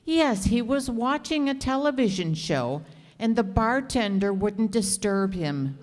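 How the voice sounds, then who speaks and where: A woman's voice: fairly high pitch, animated, unhurried, darkish tone. Woman acting a patient wife in a hall. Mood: mild, placating. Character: patient, polite society wife. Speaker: radio player. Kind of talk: stage re-creation of old radio comedy. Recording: reverberant hall, live stage performance.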